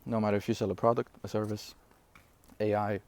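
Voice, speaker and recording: A man in his twenties from Syria, for whom English is not his first language, giving an energetic, rambling business pitch straight to camera with frequent fillers and laughs. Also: brisk. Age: 20s. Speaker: online business coach. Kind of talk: casual direct-to-camera talk.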